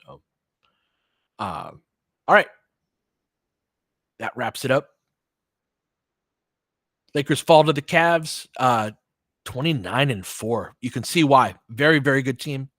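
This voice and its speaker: A man with a medium-pitched voice hosts live basketball play-by-play. He talks in casual, slangy fan language, often in short clipped phrases. The sound is dry, with no room echo. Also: takes it slowly, long pauses, fairly bright tone. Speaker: play-by-play host of a fan stream.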